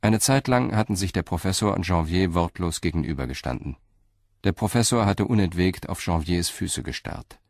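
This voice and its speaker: A man narrating a radio crime play in a calm, measured storytelling manner. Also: sits low, no echo, clean studio sound. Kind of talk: scripted audio drama narration.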